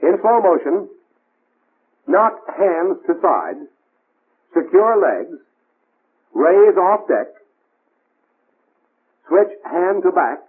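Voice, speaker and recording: A man's voice: high-pitched, big pitch swings, extremely slow, long pauses, husky. A man narrating a 1940s military training film in scripted, clipped, imperative instructions. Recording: tinny band-limited 1940s film soundtrack.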